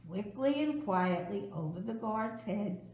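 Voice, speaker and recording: A woman reading a children's storybook aloud expressively, with dramatic emphasis and drawn-out words. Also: medium pitch, expressive, a little mellow, echoey room, a little hiss or hum, poor audio. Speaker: storybook reader.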